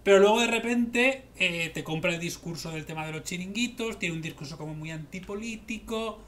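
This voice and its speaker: A man's voice: high-pitched, fairly quick, a bit bright. A man in his thirties with an accent from Spain, discussing politics casually and emphatically, rambling and repeating himself on a headset. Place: small room at home, video call. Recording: background noise on home stream.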